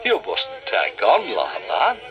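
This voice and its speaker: A man's voice: fairly high pitch, wildly swooping pitch, takes it slowly, dull audio, over music, voice pushed up, exaggerated voice. A man voicing a kind, cheerful young dragon who talks in a coaxing way.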